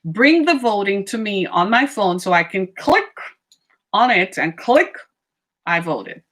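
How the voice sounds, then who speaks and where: A middle-aged woman speaking with conviction in an impassioned monologue. She asks rhetorical questions and leans hard on key words. Her voice sits at a medium pitch. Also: unhurried. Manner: emphatic, rhetorical questions, lively shifts in pitch and pace. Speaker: speaker on racism.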